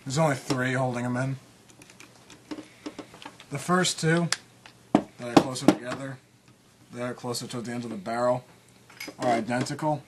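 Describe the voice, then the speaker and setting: A man's voice: medium pitch, normal speed, long pauses, background noise. Casual man with a nagging cough. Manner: relaxed, rambling, many fillers and restarts. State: occasional cough. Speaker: video tutorial maker. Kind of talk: casual how-to demonstration.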